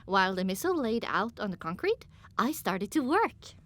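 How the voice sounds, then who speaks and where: A woman narrating a scripted first-person story as an audiobook, with an expressive, dramatic delivery. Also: mid-range voice, normal speed.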